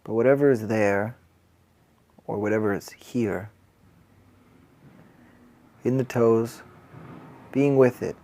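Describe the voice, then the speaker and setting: A man guiding a body scan meditation as a mindfulness teacher, calm and gentle, unhurried, leaving long pauses. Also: lowish voice.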